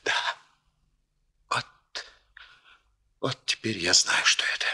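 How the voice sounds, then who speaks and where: An actor playing an earnest, impulsive, lovelorn young bridegroom with a husky voice and halting, intense phrases in a 1960s radio drama. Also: male voice, bright, forceful tone. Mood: yearning, earnest.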